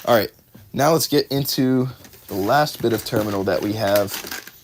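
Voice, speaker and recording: A fairly low-voiced man with a drawl talks through tackle on a livestream, chatting casually with viewers and correcting himself often. His voice sounds slightly worn, as if he is losing it a bit. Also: normal speed, noisy background. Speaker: fishing livestream host. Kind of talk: casual live unboxing chat.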